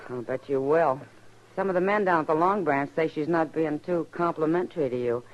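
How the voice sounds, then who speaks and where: A woman's voice: deep voice, normal speed, close to an everyday voice. An actress playing a frank, self-assured woman who runs a frontier saloon in a radio western. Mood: teasing, amused. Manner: direct, wry. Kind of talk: old-time radio western acting. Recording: dull old radio recording.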